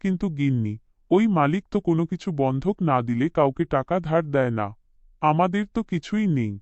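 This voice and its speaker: A man's voice: fairly high pitch, animated, fairly quick, darkish tone, dry recording, close to an everyday voice. A man voicing a desperate poor working father in a cartoon, deferential and sorrowful, in a dull recording. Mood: weary, sorrowful. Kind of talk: cartoon voice acting.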